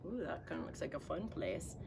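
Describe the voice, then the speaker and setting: A woman's voice: low-pitched, expressive, brisk, creaky, a little mellow, a little hiss or hum. A woman reading a picture book aloud to children playfully, with folksy, chatty asides. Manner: folksy, informal grammar. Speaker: storybook reader for children.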